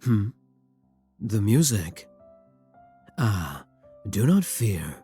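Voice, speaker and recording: A man playing a brooding, tender vampire king in intimate ASMR roleplay, husky and courtly, with formal endearments and hesitant pauses. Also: on the low side, big pitch swings, exaggerated voice. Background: soft music.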